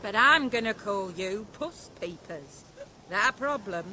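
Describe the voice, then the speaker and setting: A sharp, forceful female voice. It is a cocky, coarse fantasy game swordswoman, mocking and pushy. Faint noise sits behind her. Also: medium pitch, expressive, a little slow, rough recording. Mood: mocking, brash. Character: brash, pushy sword-fighting ruffian woman, mocking and vengeful. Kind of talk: video game voice acting.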